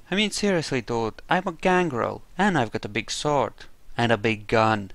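A man's voice: mid-range voice, expressive, moderate pace, creaky, a bit bright, no echo. A man narrating a video game playthrough casually, with laid-back, dry humor and casual swearing. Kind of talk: casual let's play commentary, in-character roleplay.

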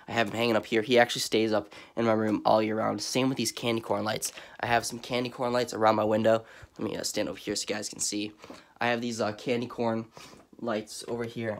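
A young man with a stuffy, cold-blocked voice, chatting casually in a rambling way as he gives a vlog tour of his dark bedroom, recorded on a phone held at arm's length. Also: lowish voice. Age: young adult. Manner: chatty, rambling, lots of fillers. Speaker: vlogger. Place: dark bedroom lit by Halloween lights. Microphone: handheld phone camera at arm's length.

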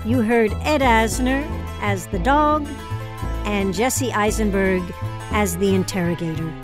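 A woman's voice: medium pitch, measured pace, over music. A woman hosting, presenting from a script and interviewing guests. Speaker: host.

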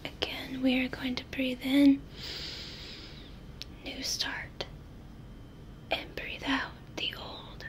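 A young woman in a whispery, breathy voice, gently and haltingly guiding the listener through an ASMR role play. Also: sits high, monotone. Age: young adult.

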